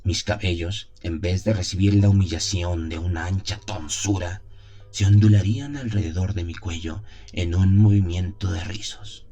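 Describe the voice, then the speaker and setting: A man's voice: low voice, normal speed, warm tone, natural voice. A man playing an anguished, lovesick young seminarian who solemnly narrates his torment in a radio play. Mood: wistful longing. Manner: solemn, brooding, theatrical.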